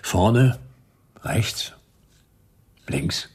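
An actor playing a world-weary, sardonic private detective narrating a radio drama in a terse, laconic, gravelly voice. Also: male voice, sits low, big pitch swings, drawn-out words, darkish tone, exaggerated voice. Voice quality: gravelly, raspy. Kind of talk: noir first-person narration in radio drama.

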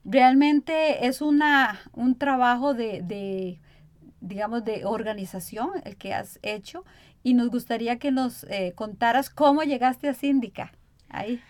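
A woman's voice: fairly high pitch, measured pace, dry recording. A woman hosting a conversational radio interview.

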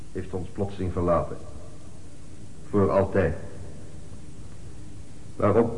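An actor playing a lofty male funeral orator in a radio play, declaiming a eulogy with measured pauses. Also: on the low side, darkish tone, a little hiss or hum. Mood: grave. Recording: echoey hall with long reverb.

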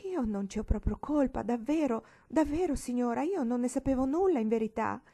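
A woman's voice: fairly high pitch, lively intonation, fairly quick, warm tone, voice pushed up. A woman voicing a distressed mother in an audiobook, pleading and near tears. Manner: pleading, repeating herself.

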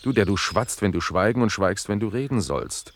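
A man playing a lordly, inquisitive master in a radio play, imperious and teasing, with a husky voice. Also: lowish voice, lively intonation, normal speed, a little hiss or hum. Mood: scolding, teasing. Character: lordly, inquisitive master scolding his servant.

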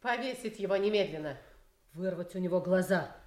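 A woman's voice: lowish voice, fairly quick, darkish tone, close to an everyday voice. An actress playing a vicious, scornful noblewoman in a classical audio tragedy, cold and biting. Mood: cold malice. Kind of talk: classical stage tragedy acting. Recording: echoey radio play studio.

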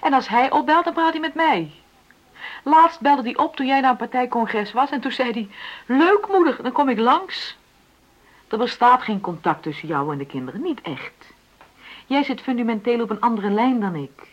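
A woman's voice: fairly high pitch, expressive, moderate pace, low-quality recording. An actress playing a frustrated middle-aged wife in a radio play, insistently arguing for equality in her marriage. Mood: indignant, bitter. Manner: argumentative, insistent, reasoning. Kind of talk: naturalistic Dutch radio play dialogue.

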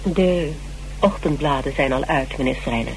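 A man's voice: mid-range voice, normal speed, close to an everyday voice, poor audio. An actor playing an eager, fawning male criminology lecturer who hesitates and repeats himself in a radio drama. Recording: hissy old radio recording.

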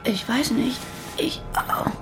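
A woman's voice: a little high, slow pace, music in the background. An actress playing a weary, troubled woman on the run in a German radio drama. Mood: dazed, weak.

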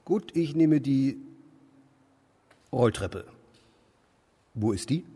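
An actor playing an idle, bemused drifter who mutters and exclaims to himself in a German radio play. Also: male voice, mid-range voice, animated, drawn-out words, mellow, husky, dry recording, full character voice. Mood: matter-of-fact. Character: idle male drifter, musing, irritable, bemused.

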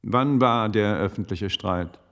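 An actor in a German radio play playing a shrewd magistrate's investigator in old Italy, with a measured, formal, questioning manner. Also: male voice, fairly low pitch, fairly bright tone. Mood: probing, measured.

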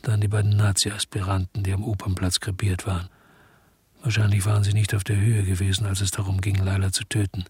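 An actor playing a bitter, brooding investigator who narrates a radio crime drama in a grim, terse, reflective way. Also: male voice, lowish voice, completely flat delivery, brisk, a little mellow, slightly rough, dry recording. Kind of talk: scripted first-person radio drama narration.

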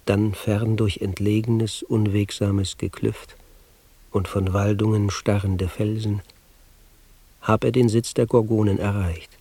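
An actor playing a solemn man who recites an ancient mythic tale in verse, in a grave, declamatory, husky manner for a radio drama. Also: on the low side, level tone, takes it slowly, dark tone. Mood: solemn, hushed.

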